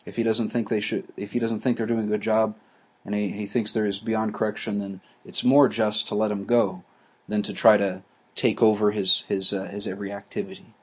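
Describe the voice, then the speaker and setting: A man teaching a class, explaining ideas slowly with frequent restarts and fillers. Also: lowish voice, flat delivery, darkish tone, slightly rough. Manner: halting, many restarts and fillers.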